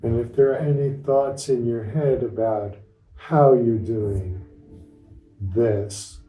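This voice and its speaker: A man leading a guided meditation as a teacher, speaking gently and without hurry in short phrases with long pauses. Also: medium pitch. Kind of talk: guided meditation, unscripted and instructional. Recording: roomy echo, distant microphone.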